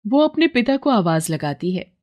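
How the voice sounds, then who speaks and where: A woman narrates a scripted story for children. Her pitch is average for a female voice. She tells it as a storyteller reading a bedtime moral tale. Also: big pitch swings, normal speed, darkish tone.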